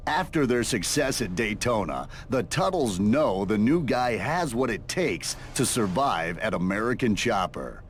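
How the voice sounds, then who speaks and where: A man's voice: lowish voice, animated, a little slow, few pauses, a faint crowd, a little hiss or hum. A man delivering scripted TV-style narration for a video game.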